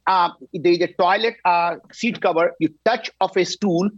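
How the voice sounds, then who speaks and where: A man in his sixties, a company founder guest on a talk show, pitching his product with energy and emphasis, often tagging "right?". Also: fairly even intonation, measured pace, no echo, dull audio. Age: 60s.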